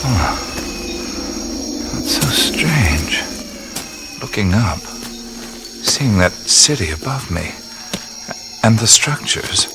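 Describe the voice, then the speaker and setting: Radio play lead: an awestruck male adventurer, unhurried and hesitant, with widely swinging pitch. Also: sing-song, noisy background, exaggerated voice. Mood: curious wonder. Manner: slow, halting, musing, often dropping to breathy whisper. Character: awestruck male adventurer exploring mysterious ruins, wondering and hesitant. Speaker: lead actor in an audio drama. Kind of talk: radio drama acting, first-person narration of discoveries. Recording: music bed behind the voice. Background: music.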